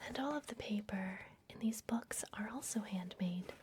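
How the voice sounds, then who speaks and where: A woman playing a secretive, soothing vampire librarian in a fantasy ASMR roleplay, speaking in a soft, breathy whisper close to the microphone. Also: medium pitch, a little hiss or hum, lightly acted. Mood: secretive, welcoming. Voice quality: breathy, mostly unvoiced whisper. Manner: soft, gentle, unhurried, confiding.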